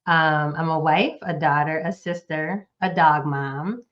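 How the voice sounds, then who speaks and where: Woman in her forties, deep voice, measured and welcoming, podcast host, muffled audio. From Northeast United States. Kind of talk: scripted, friendly interview hosting.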